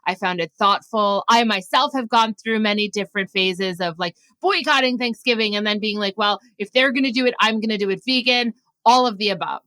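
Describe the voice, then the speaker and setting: A woman's voice: mid-range voice, fairly quick, few pauses, bright, forceful tone, no room sound. A woman hosting a podcast, introducing the show in a conversational way. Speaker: podcast host.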